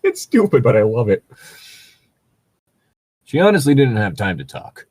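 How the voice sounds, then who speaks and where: A man with a husky, mid-pitched voice and a very lively, swinging intonation. He banters casually as a podcast co-host, swearing freely and rambling through jokes with a giggly delivery. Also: normal speed. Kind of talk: casual banter, riffing and joking.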